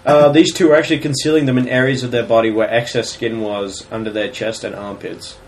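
A man co-hosting a crude comedy podcast, reading out news stories and laughing loudly, often jumping into silly high-pitched voices. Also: fairly even intonation, brisk.

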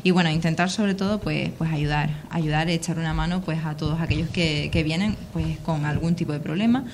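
Woman in her thirties, Canarian accent, lowish voice, hesitant interview answers, background noise. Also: a little fast, a little mellow. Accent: Canarian Spanish. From Lanzarote, Canary Islands, Spain. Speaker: local politician, youth councillor being interviewed. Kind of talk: informal radio interview answers.

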